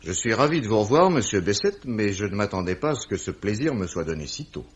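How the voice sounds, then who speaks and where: A man playing a wry, courteous police commissioner, measured and eloquent, in an old radio drama. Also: on the low side, very animated, faint music behind. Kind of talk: 1950s French radio drama acting. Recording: dull vintage radio recording.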